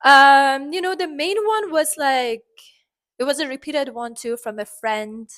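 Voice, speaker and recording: Expressive Iranian-accented woman vlogging. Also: measured pace. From Iran. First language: Farsi. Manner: expressive, chatty, many fillers and sudden pitch jumps. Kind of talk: casual vlog monologue.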